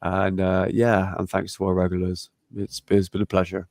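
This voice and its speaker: A man's voice: low voice, completely flat delivery, normal speed, a little mellow, dry recording, clean studio sound. A man co-hosting a casual live-stream chat, sounding congested and talking in a laid-back, friendly way. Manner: laid-back, friendly, calls the other host mate. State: congested, blocked nose. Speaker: podcast co-host.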